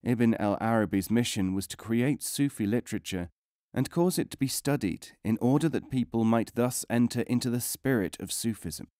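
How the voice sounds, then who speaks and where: A man reading non-fiction as a scripted audiobook narration, in a measured, formal way with careful enunciation. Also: lowish voice, darkish tone, no room sound, clean studio sound.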